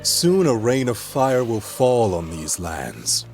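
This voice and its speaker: A man's voice: medium pitch, sing-song, takes it slowly, over music. An actor playing an ominous, taunting, accusing man who pronounces doom in a video game cutscene. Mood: menacing, accusing. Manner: accusing, mocking, portentous.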